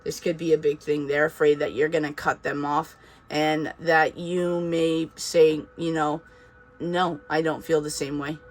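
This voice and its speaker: A man's voice: a little high, level tone, a little slow. Chatty man with a light Boston accent. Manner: chatty, reassuring, with frequent fillers and laughs. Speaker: tarot reader. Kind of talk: casual tarot reading monologue.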